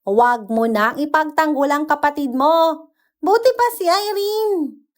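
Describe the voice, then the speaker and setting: A woman's voice: high voice, animated, a little slow, pitched above the performer's own voice. A woman voicing a scornful, scolding older mother in a dramatized story. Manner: sharp, scolding, exasperated. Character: scornful older mother nagging about her idle daughter.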